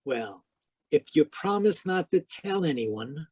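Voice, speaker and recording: A man's voice: fairly high pitch, big pitch swings, slow, darkish tone, husky, no echo, exaggerated voice. Radio-drama private eye played by a man: tough, sardonic, theatrical 1940s patter, dry recording. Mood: wry, teasing. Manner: wry, clipped, theatrical narration and banter. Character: Sam Spade, wry hard-boiled male private detective. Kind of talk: 1940s radio detective acting.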